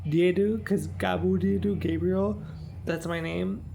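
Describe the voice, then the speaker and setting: A young man in his twenties talks to the camera in a loose, rambling vlog style, with many fillers and restarts. His voice is strongly gravelly, with heavy fry, and sits a little high for a man. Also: steady tone, a little slow, mellow, slight background noise. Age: 20s. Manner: rambling, lots of "like" and "um". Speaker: vlogger. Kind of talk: casual vlog chat.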